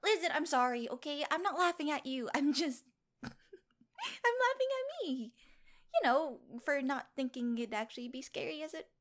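A woman acting in a solo audio roleplay as a teasing, apologetic woman, halting, giggly and coaxing in manner. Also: high-pitched, fairly bright tone. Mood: sheepish.

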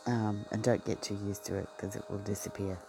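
A man's voice: on the low side, little pitch movement, a little fast, vocal fry, music in the background. A man with a broad Australian accent chatting casually in a vlog, rambling and getting sidetracked, with many ums and restarts. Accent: Australian, broadened by life in Queensland. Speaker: vlogger. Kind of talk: rambling personal chat. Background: a pop song playing.

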